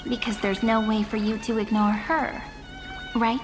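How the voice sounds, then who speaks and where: A poised, gently teasing young woman played by an actress speaks a little high in a long, hall-like reverb. She sounds knowing and coaxing, a video game character with music clearly behind her. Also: little pitch movement, unhurried, lightly acted. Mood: composed, teasing.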